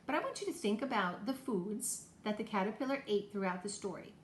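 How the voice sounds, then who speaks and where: A woman's voice: medium pitch, lively intonation, fairly quick. A woman teacher talking to young children in a homemade lesson video, encouraging them with simple questions and explanations. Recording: echoey room, faint background noise.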